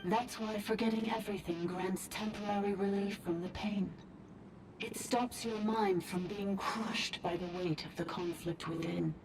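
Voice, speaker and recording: A woman's voice: mid-range voice, steady tone, measured pace, distant mic, close to an everyday voice, rough recording. A woman voicing a solemn, ancient dragon priestess living in a humble service robot, speaking formally in an anime game drama. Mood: sorrowful, grave.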